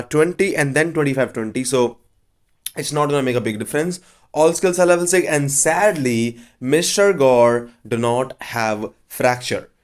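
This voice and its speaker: A man's voice: mid-range voice, clean tone. A man with a cold hosting a casual game walkthrough, chatty and blunt with offhand verdicts. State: has a cold. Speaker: gaming channel host.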